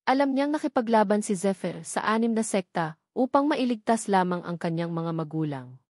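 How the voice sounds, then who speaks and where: Woman reading out a story, formal audiobook style, mid pitch, really dry close sound. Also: expressive, normal speed, darkish tone, no room sound. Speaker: audiobook narrator. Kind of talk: scripted novel narration.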